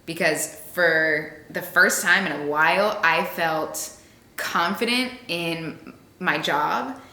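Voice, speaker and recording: A woman in her late twenties talks in a chatty, upbeat way, her voice bright and a little low-pitched. The conversation sounds like it was recorded in an echoey room. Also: takes it slowly. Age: 20s. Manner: chatty and upbeat, laughs readily. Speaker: interviewee, radio and social media personality. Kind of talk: casual conversation.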